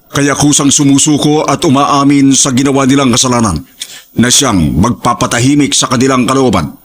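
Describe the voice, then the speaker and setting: A man giving scripted narration for a story programme in a measured, formal manner. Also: medium pitch. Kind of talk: scripted narration with moral lesson and credits.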